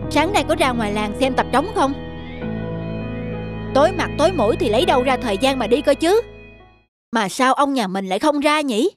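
A woman voicing a young, plain-spoken servant in an animated folktale. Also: high voice, normal speed, pauses often, over music.

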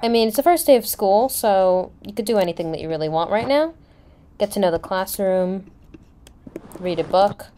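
Kindly schoolteacher, played by a woman, soft dark tone, gently reassuring, faint background noise. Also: mid-range voice, expressive, normal speed, lightly acted. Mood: kind, soothing. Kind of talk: amateur voiced roleplay.

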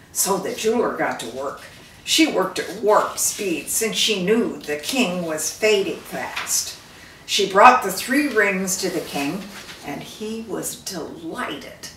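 Older lady reading a tale out loud, takes her time, mic sounds far off. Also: medium pitch, a little hiss or hum. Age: older, 60s or 70s. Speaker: storyteller, reader. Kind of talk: expressive read-aloud storytelling. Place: living room by a fireplace. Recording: roomy echo, distant microphone.

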